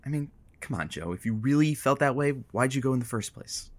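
A man's voice: medium pitch, animated, a little fast, natural voice. Actor as playful male radio sidekick. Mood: conciliatory. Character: teasing, matchmaking male radio show producer sidekick. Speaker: co-host. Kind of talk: semi-improvised audio drama.

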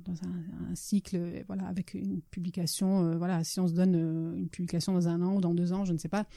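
Very mellow, soft-toned Italian-born woman. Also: fairly low pitch, flat delivery, vocal fry, dry recording. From Naples region, Italy. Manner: hesitant, halting, rephrases often. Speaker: author and blogger being interviewed. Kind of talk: casual conversational interview.

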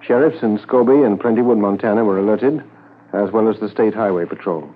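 An actor serving as a solemn, measured narrator of a 1950s police radio drama. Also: male voice, on the low side, close to an everyday voice. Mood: solemn, factual. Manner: formal, measured. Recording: tinny 1950s radio recording.

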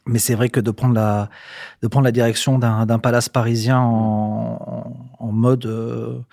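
A man's voice: mid-range voice, little pitch movement, a little slow, vocal fry, darkish tone, dry recording, clean studio sound. A man in his forties from southern France, a hotel manager answering interview questions with many fillers and restarts. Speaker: hotel general manager, guest.

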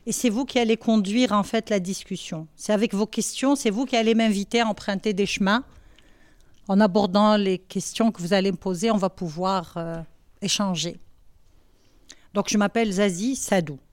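A woman in her sixties from Algeria, answering schoolchildren's questions in a classroom, thoughtful and halting with many pauses. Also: mid-range voice, darkish tone, no echo. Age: 60s. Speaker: women's rights activist answering pupils' questions.

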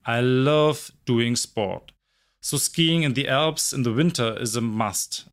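Man in his forties, brisk business talk. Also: mid-range voice. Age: 40s. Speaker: recruitment company director. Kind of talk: scripted self-introduction.

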